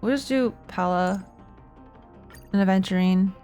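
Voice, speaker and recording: A woman's voice: medium pitch, a little slow, warm tone. A woman streams a game in a casual, rambling voice. She thinks aloud in slangy asides as she talks to her chat. Faint music plays behind her. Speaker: streamer playing and reacting to a game.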